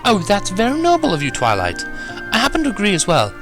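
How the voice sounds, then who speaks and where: A man voicing a refined, caring female cartoon unicorn with a genteel, measured manner. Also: high-pitched, huge pitch swings, music in the background, voice pushed up, exaggerated voice. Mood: approving.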